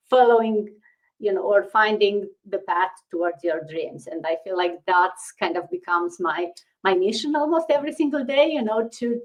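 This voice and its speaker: Female interview guest, middle-aged, from Romania, medium pitch, casual conversational storytelling. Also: poor audio.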